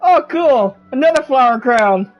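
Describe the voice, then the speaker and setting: A woman gives casual, playful commentary as she plays a video game. Her voice is fairly high and animated, her delivery unhurried, with faint music behind. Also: lo-fi sound. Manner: playful, reactive.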